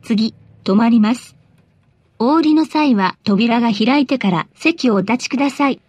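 A woman reading scripted bus announcements in a polite, formal, measured way. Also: fairly high pitch, a little mellow, breathy edge, no room sound.

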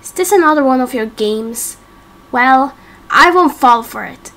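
A woman's voice: high voice, animated, measured pace, a bit bright, full character voice. A woman voicing a brash, cocky seven-year-old boy in a game roleplay, eager, teasing and boastful. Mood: cocky, insistent. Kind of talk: amateur game roleplay acting.

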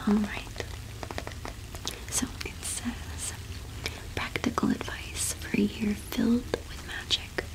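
A young woman whispering in a breathy, gentle, unhurried way, as in an ASMR roleplay. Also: medium pitch, flat delivery, low-quality recording. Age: young adult. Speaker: ASMR host playing a shopkeeper.

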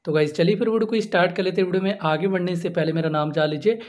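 A man's voice: high-pitched, rapid-fire, a little mellow. A man presenting a step-by-step phone app tutorial, repeating himself and addressing viewers as friends. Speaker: host of a tech tutorial channel.

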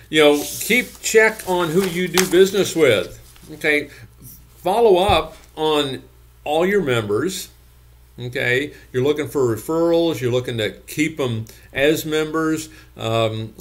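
A man's voice: medium pitch, fairly bright tone. A man in his sixties giving business coaching from a home office, folksy and repetitive, tagging points with "okay?". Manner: folksy, repeats points, ends lines with "okay?". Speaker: gym business consultant. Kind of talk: talking-head business coaching. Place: home office with bookshelves, indoors.

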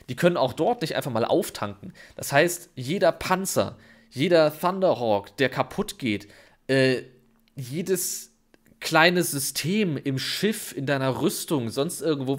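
A man co-hosting a podcast, explaining in a chatty way with many fillers, still slightly sick with a cold. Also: on the high side. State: has a cold, coughing. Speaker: podcast co-host.

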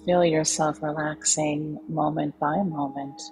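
A woman leading a guided meditation in a calm, soothing, unhurried way with long pauses. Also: deep voice, low-quality recording. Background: soft background music.

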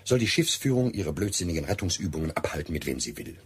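An actor playing an irritable, sarcastic fugitive doctor who blusters, in a German radio drama. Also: male voice, sits low, big pitch swings, fast, breathy edge, full character voice. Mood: irritated. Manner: sarcastic, dismissive.

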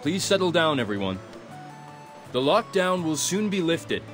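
An actor playing a cool, composed young male leader in a video game, speaking formally and with measured authority. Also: a little high, sing-song, fairly bright tone, faint music behind. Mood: coolly authoritative. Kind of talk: video game dialogue acting.

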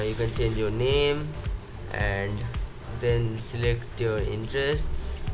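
A man with an Indian accent talks through a screen demo in a loose, unscripted way, pausing and correcting himself. His voice sits at an ordinary middle pitch. Music plays clearly underneath him. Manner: unscripted, thinking aloud with fillers and self-corrections. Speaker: tech video host. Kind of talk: casual screen-recorded tech walkthrough. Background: background music.

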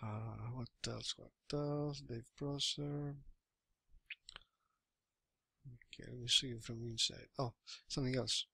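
A man's voice: mid-range voice, fairly even intonation, gravelly, pushed and bright, breathy edge, no echo. A Greek man in his thirties, speaking English as a second language with a Greek accent, giving a hesitant, casual screen-recorded walkthrough from a small room on a webcam mic. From Greece. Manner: hesitant, halting English with many fillers and restarts. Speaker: affiliate marketer giving a product review. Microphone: webcam or laptop mic.

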